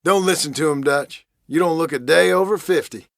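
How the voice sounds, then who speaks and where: An actor playing a cheeky, irreverent Old West gang member in a video game, ribbing others playfully. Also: male voice, on the high side, a little fast, creaky, no echo, clean studio sound. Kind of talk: video game character barks.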